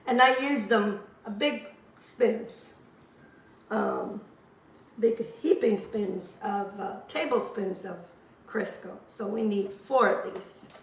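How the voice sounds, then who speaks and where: A hoarse woman, chatting homely and rambling as she demonstrates a recipe in her home kitchen. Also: mid-range voice, recorded from a distance, slight background noise. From Azores. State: hoarse from a lingering cough. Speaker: cooking channel host. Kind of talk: casual cooking demonstration. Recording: roomy kitchen, voice off-mic.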